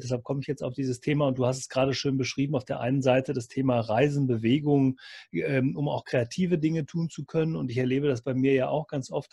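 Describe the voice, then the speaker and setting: A man's voice: medium pitch, darkish tone, slightly rough, no room sound, clean studio sound. A man hosting a podcast interview, asking conversational questions in a wordy, discursive way. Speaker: podcast host.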